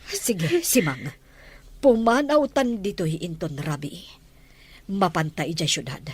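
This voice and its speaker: A woman's voice: fairly low pitch, expressive, measured pace, slightly rough. A woman with a Filipino accent playing a resolute household mistress on the run in a radio drama. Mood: worried, urgent.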